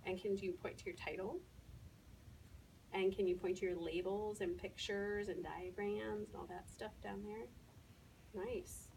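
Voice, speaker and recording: A woman's voice: medium pitch, fairly even intonation, unhurried, vocal fry, a little mellow. A woman speaking as a first-grade teacher, explaining things informally to an audience in a step-by-step way. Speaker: first-grade teacher presenting student work. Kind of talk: informal spoken presentation to an audience. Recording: distant mic, faint room noise.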